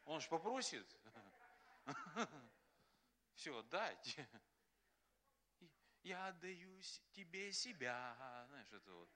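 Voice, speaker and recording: A man preaching a sermon as pastor, animated and acting out quotes, often laughing. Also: sits high, slight background noise. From Russia.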